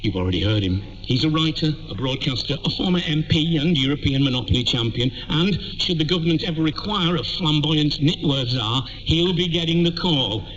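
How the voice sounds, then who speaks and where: Muffled British male radio host, lively intonation. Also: on the high side, fairly quick, hardly any pauses. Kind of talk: light magazine-show interview with scripted introductions. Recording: muffled shortwave radio capture.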